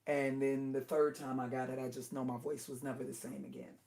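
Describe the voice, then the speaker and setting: Hoarse woman with a low alto voice doing a casual livestream, keeps stopping and restarting. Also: little pitch movement, warm tone. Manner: halting, with many restarts and apologies. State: hoarse, strained voice. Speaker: livestream host.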